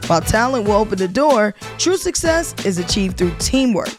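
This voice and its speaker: A woman's voice: mid-range voice, very animated. Woman reading a promo over music. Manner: measured, slow delivery. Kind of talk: scripted promo narration.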